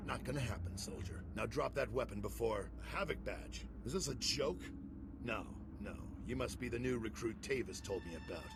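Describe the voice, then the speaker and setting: An actor voicing a defiant veteran soldier turned traitor in a video game, wry, blunt and self-assured, with gravel in the voice. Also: male voice, mid-range voice, moderate pace, noisy background, lightly acted. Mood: threatening. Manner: cocky, sardonic, blunt.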